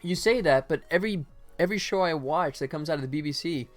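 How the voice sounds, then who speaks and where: A man's voice: fairly high pitch, fast. Man chatting casually on a livestream, music behind. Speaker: livestream host, game animator. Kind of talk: casual livestream chat while working, thinking aloud.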